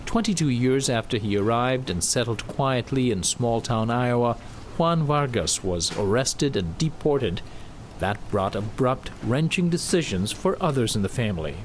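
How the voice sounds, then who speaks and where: A man narrating a news report in a measured, scripted delivery. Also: mid-range voice, expressive, slight background noise, low-quality recording. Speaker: news correspondent.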